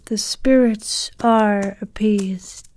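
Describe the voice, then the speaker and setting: A woman playing a genteel, affectionate and quietly macabre wife in a horror audio drama, refined and coy. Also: mid-range voice, steady tone, drawn-out words. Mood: hushed, chiding.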